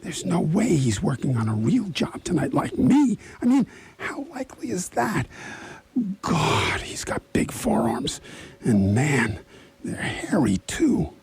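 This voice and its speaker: Man acting a raspy, swooping, nervous fussy schemer. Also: a little high, wildly swooping pitch, takes it slowly, a little mellow, full character voice. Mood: anxious scheming. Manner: dithering, dramatic self-questioning, dropping into whispers. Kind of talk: radio theatre acting.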